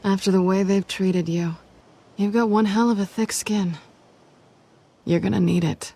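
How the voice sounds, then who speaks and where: An actress playing a sly, flirtatious but guarded cat burglar in a video game, with a cool, teasing drawl that turns breathy at times. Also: female voice, mid-range voice, steady tone, unhurried, dark tone. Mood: cynical, urging. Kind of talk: video game dramatic acting.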